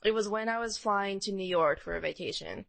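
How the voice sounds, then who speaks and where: A woman with a mid-pitched voice talks casually as a podcast co-host. She tells personal anecdotes in an animated manner, slipping into quoted reactions as she goes. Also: fairly quick, gravelly.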